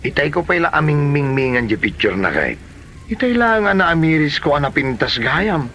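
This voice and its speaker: A man playing a lovestruck, easygoing young delivery man in a comedy drama, chatty and expressive, with an Ilocano accent. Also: a little high, normal speed, slight background noise. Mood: excited, chatty.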